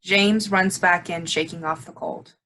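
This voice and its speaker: Bright low-voiced woman narrating, faint noise. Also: steady tone, a little slow. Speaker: stage directions reader. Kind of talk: scripted narration.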